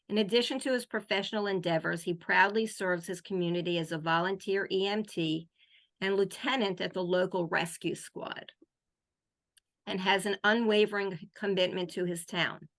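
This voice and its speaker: Bright-voiced woman hosting a video call. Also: medium pitch. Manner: filler-laden, then reads formally. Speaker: host and moderator. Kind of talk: reading an introduction.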